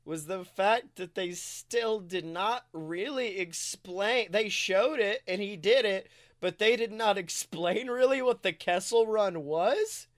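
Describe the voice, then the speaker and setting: A man co-hosting a casual film chat, talking in an excitable, slangy way and swearing freely. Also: high voice, takes it slowly, hardly any pauses, no room sound, studio quality. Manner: self-described nerd, animated. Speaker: podcast co-host.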